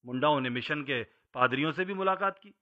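An actor playing a patient parent who narrates history to a child in a scripted, explanatory storytelling style. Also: male voice, a little high, brisk, bright, forceful tone. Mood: explaining. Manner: explanatory, emphatic. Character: patient elder man telling history to his child. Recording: slightly dull, lo-fi audio.

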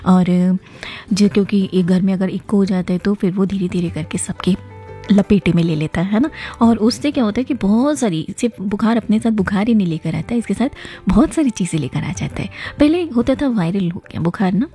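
Hoarse, mellow-voiced woman hosting a show. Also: medium pitch, brisk, soft background music. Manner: friendly, addresses listeners directly, frequent "hai na". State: hoarse from a viral illness. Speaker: host of a message-based request show. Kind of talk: chatty live hosting, reading out listener messages.

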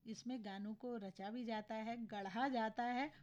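A woman radio co-host with a sore, scratchy throat, explaining health matters in a relaxed conversational way. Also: fairly high pitch, unhurried, lo-fi sound. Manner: explanatory, conversational, addresses listeners. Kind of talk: casual radio health chat.

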